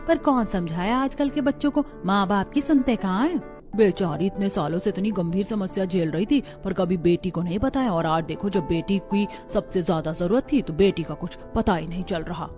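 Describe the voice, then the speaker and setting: A woman's voice: medium pitch, moderate pace, a little mellow, faint music behind, lightly acted. A woman voicing a gossiping market woman in a cartoon story, pitying and judgmental. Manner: gossipy, tutting.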